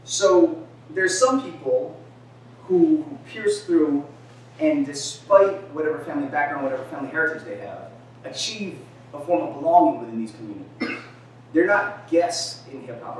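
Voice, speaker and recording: A man in his thirties gives a conversational lecture, peppering his points with "right?" and filler sounds. His voice sits a little high for a man. He was recorded from across a bare, echoey room. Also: distant mic, slight background noise. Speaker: presenter. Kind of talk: lecture presentation. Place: gallery-like room with a screen.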